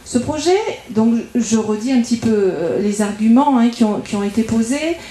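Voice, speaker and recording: Echoey, mellow higher-pitched woman giving a political speech. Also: animated, moderate pace. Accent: French from France. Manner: argumentative, methodical, enumerating points. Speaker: local political campaigner speaking at a debate. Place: indoor meeting room at public debate. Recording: echoey room at public meeting.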